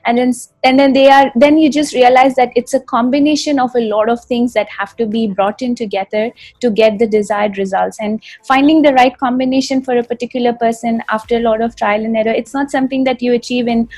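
A woman host who trains clients herself, talking warmly affirming and rambling with many restarts. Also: a little high, fairly even intonation, a little fast, hardly any pauses, no echo. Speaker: fitness podcast host. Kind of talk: conversational interview.

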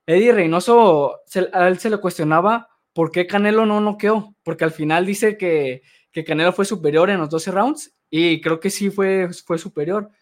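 A man co-hosting a sports show, giving a measured, analytical take. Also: high-pitched.

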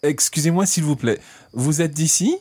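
A man's voice: a little high, a little fast, darkish tone, husky, dry recording, pitched above the performer's own voice. A man playing a stubborn but courteous husband on holiday in a radio play for learners. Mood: courteous, animated. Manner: self-assured, courteous.

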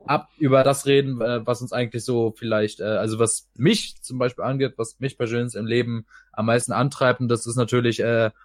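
A man's voice: mid-range voice, level tone, a little fast, hardly any pauses, no room sound, clean studio sound. A man hosting a podcast in a casual, wordy, rambling way. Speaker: podcast host. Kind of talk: casual talk.

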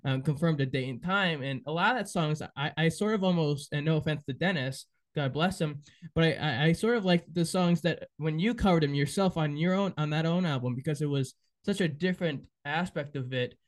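A man's voice: a little high, a little fast. A man hosting a podcast interview, chatting casually and giving many short enthusiastic affirmations. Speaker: podcast host.

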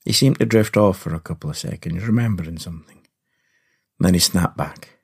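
A man's voice: low voice, a little fast, creaky, a little mellow, slightly rough. A Scottish man hosting a story podcast, narrating in the first person in a folksy, confiding way.

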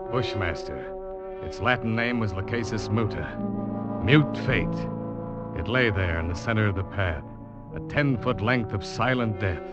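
An actor playing a determined but uneasy American snake hunter, narrating his expedition with dramatic, deliberate delivery in an old radio drama. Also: lowish voice, natural voice. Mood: ominous awe. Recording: muffled old radio recording with music.

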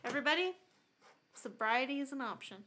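A woman with a high, bright voice trades stories in casual podcast chat. She jokes loudly and laughs easily, and her tone stays sharp and forward. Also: expressive, slight background noise. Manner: loud, joking, quick to laugh. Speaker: podcast co-host.

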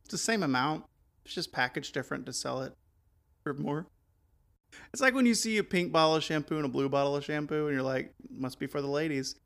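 A man co-hosting a podcast in casual comedic banter. His voice is gravelly and bright, set a touch high, and he keeps a straight face through the joke. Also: fairly quick. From American South. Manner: deadpan, plays along with the bit. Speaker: podcast co-host.

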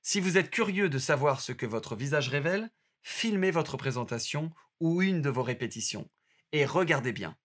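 Male narrator, a touch high-pitched, reads course material in a steady, teacherly way. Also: normal speed. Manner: steady instructive reading. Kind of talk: scripted narration.

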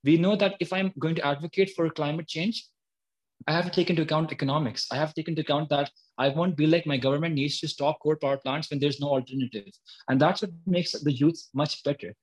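A man's voice: fairly high pitch, steady tone, fast, low-quality recording. A man, talking earnestly and explaining his views as an activist guest in an interview. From Pakistan. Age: early 20s. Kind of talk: spontaneous interview talk.

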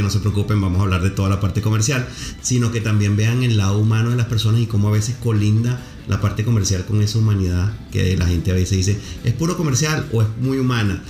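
A man's voice: fairly low pitch, dark tone. A man hosting a podcast interview, talking at length in an effusive way and explaining marketing terms to listeners. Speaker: podcast host. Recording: echoey room with faint music.

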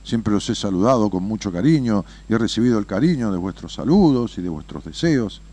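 A man voicing a calm, kindly old man in a spoken parable, soft and patient. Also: lowish voice, fairly quick. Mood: gentle. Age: older.

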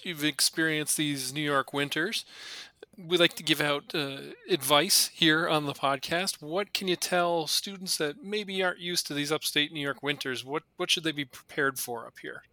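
A man hosting a school podcast interview, upbeat and quick to laugh along with the guest. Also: a little high, sharp tone, dry recording. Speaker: podcast host.

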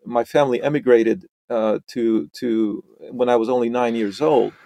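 Gravelly, halting middle-aged man. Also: mid-range voice, steady tone, dark tone. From Romania. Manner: halting, many restarts and repeated words. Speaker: podcast guest. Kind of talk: conversational interview.

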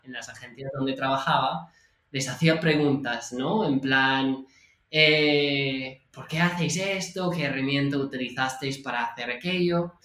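A man's voice: mid-range voice, fairly bright tone. A man with an American accent speaking Spanish as a guest, telling his story in a chatty way with frequent fillers and restarts. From United States. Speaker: podcast guest. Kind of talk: casual interview storytelling. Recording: roomy echo.

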